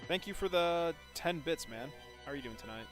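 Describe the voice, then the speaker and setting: A man's voice: on the high side, expressive, fairly quick. A man live streaming a video game, giving casual, informal commentary, thinking aloud and chatting with viewers. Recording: loud game music behind the voice. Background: video game music.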